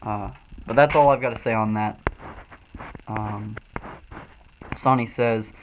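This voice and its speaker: A man from Illinois with a Midwestern accent, loosely and profanely talking through chat comments on a livestream while high. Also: fairly even intonation, slow pace, slight background noise, rough recording. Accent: Midwestern American. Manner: loose, profane, frequent ums and uhs. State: high, coughing from smoking. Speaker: streamer reading chat.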